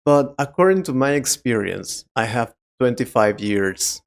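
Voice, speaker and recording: Slow-talking male English teacher, non-native speaker. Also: medium pitch, expressive, gravelly. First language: not English (English is second language). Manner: simple, deliberate phrasing with short sentences. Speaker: English teacher and podcast host. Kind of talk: slow educational podcast talk to learners.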